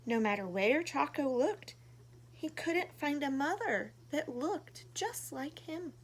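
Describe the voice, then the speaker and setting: Casting: female storytime reader, high expressive voice, slow delivery for little kids, some background noise. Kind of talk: animated read-aloud for young children.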